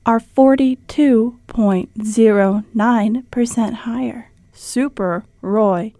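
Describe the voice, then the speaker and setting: A woman's voice: on the high side, slow pace, mellow, dry recording. A woman voicing an impassive medical ship computer in an audio drama, clinical and emotionless.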